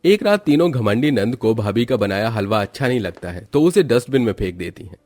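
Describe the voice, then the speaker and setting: Dry-sounding male story narrator, medium pitch. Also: big pitch swings, brisk, a little mellow, no room sound, faint music behind.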